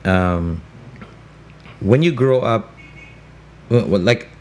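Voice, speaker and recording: Filipino man, middle-aged, deep worn broadcast voice, animated raconteur; slight background hiss. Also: takes it slowly. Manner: animated storyteller who mimics voices, mixing Tagalog and English. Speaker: radio voice talent and guest. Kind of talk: casual interview chat.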